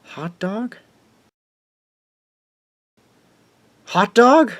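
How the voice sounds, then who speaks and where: A man's voice: high-pitched, expressive, slow pace, no echo. A man playing an earnest, uneasy teenage boy, a conscientious friend, in a radio drama. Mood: shocked.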